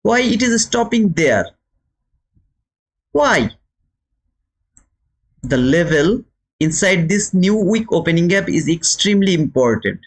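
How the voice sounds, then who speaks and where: High-pitched, animated Bangladeshi man teaching. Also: takes it slowly, pauses often. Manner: emphatic, repeats phrases, asks rhetorical questions. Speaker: trading teacher and livestream host. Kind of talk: live instructional commentary, talking to viewers.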